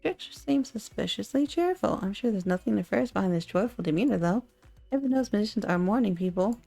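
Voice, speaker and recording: A woman's voice: medium pitch, animated, fast, creaky, mellow, faint music behind. A woman playing a chatty, sardonic omniscient narrator of a dating sim game, teasing the player directly. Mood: wry, teasing.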